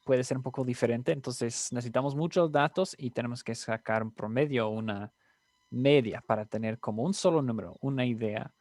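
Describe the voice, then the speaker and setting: A man's voice: medium pitch, fairly quick, a little mellow, no room sound. Male tutor, casual, keeps checking in; dry, close recording. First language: English. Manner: mixes English fillers into Spanish, frequent "right?".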